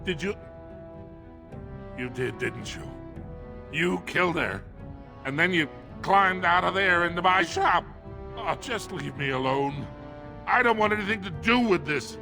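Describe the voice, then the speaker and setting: A man voicing a panicky, indignant dwarf shopkeeper in a video game, flustered and exclaiming in a high, creaky voice. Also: lively intonation, unhurried, long pauses, over music, exaggerated voice. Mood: fearful, indignant. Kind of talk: video game character dialogue.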